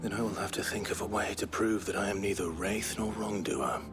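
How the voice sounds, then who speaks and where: An actor playing a brooding, resolute young nobleman in exile in a fantasy game, with a measured, formal, understated delivery. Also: fairly low pitch, fairly even intonation, lightly acted. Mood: quietly resolute. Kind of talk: dramatic fantasy game acting. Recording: game music behind the dialogue.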